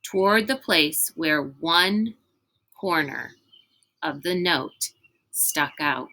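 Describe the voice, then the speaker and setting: Female storyteller, lowish pitch, bright forward tone, expressive children's book narration with suspenseful emphasis. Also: slow pace, low-quality recording. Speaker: reader reading a book aloud. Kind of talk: expressive read-aloud narration of a children's novel.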